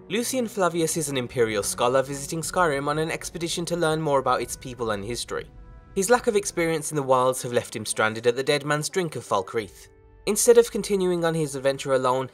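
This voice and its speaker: A man hosting a game mod review, reading scripted narration in an orderly presenter's manner. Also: medium pitch. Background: music.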